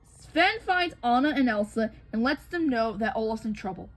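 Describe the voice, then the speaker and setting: A woman co-hosts a read-aloud of a children's story. Her voice is very high and moves up and down a lot as she narrates expressively. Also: animated, moderate pace, rough recording. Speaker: co-host reading a picture book aloud.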